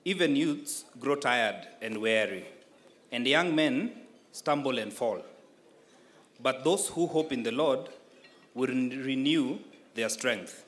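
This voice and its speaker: A man reading scripture aloud formally, in a deliberate and measured manner. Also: medium pitch, animated, fairly bright tone. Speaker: scripture reader at a prayer breakfast.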